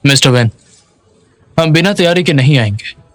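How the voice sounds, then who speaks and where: A man's voice: on the high side, animated, moderate pace, dry recording, faint music behind, natural voice. A man voicing a scheming, persuasive businessman in a dubbed drama. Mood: confident, goading.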